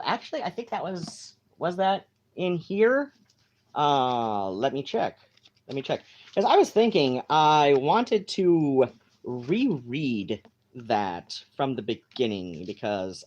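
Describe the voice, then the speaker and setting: A home live-streamer talks slowly and unhurriedly, wandering from thought to thought. The voice sits at a middling pitch, with plenty of ums and self-corrections along the way. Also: muffled recording. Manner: meandering, many fillers and restarts. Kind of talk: casual rambling live-stream chat.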